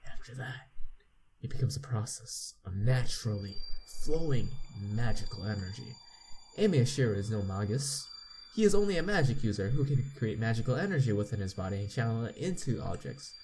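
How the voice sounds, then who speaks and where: Halting man reading aloud, faint noise. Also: lowish voice, animated, darkish tone, low-quality recording. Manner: halting reader, repeats misread words. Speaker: playthrough host. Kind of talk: reading game text aloud with asides.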